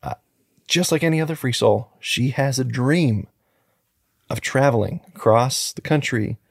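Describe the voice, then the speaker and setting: Gravelly, husky man chatting casually. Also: medium pitch, a little slow, no echo, studio quality. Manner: relaxed, joking, rambling with fillers. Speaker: podcast co-host. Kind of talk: casual true crime podcast chat.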